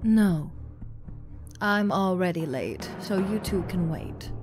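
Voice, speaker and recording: Woman doing huge smug cartoon gem queen, slow n drawn out, super swoopy, music under it. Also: on the low side, animated, a little mellow. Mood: dismissive. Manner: theatrical, drawn-out, condescending. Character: imperious, mocking, towering female gem ruler. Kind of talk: animated comic dub acting.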